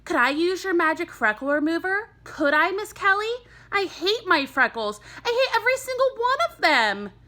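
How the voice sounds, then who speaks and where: A woman's voice: extremely high pitch, very animated, normal speed, pushed and bright, pitched above the performer's own voice, full character voice. A woman playing an eager, impulsive young schoolboy who talks in childlike exclamations and pleas. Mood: pleading.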